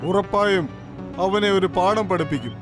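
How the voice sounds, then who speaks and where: A man voicing a stern king who scolds and gives orders in an animated storybook, over music. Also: high voice, unhurried. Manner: commanding, scolding. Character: stern grown king, commanding. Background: background music.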